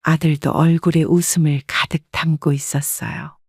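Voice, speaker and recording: A woman narrating her own family story in the first person, calm and reflective. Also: low voice, normal speed, husky, no echo, clean studio sound.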